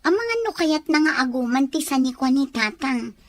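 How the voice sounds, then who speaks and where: A woman's voice: high voice, animated, moderate pace, no room sound. A woman acting a troubled, suspicious daughter in a radio drama, with an Ilocano accent. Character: Margaret, troubled daughter suspecting a land grab.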